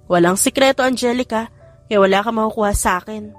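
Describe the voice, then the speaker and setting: A woman's voice: fairly high pitch, quick pace, faint music behind, lightly acted. A woman voicing a firm, guarded young woman in a romance audiobook. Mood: mild.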